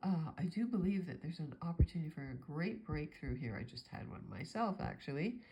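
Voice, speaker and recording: A woman's voice: low voice, very animated, a little fast, lo-fi sound, noisy background. A woman astrologer with a stuffy nose from a cold, talking through a forecast in a meandering, thinking-aloud way.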